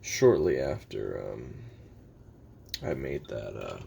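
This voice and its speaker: A man playing a weary, sardonic assassin recording confessional memoirs, halting and deadpan, with a gravelly voice. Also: a bass voice, exaggerated voice. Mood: dry, sardonic. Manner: halting, deadpan, dryly self-deprecating. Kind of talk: confessional audio roleplay monologue.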